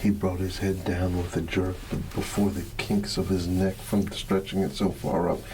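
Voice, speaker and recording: A man reading a bedtime story in ASMR style, hushed and drawn out, sometimes dropping into a whisper. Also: low-pitched, low-quality recording. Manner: hushed, unhurried, drawn-out words with playful asides. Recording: steady background ambience noise. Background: ambient background sound.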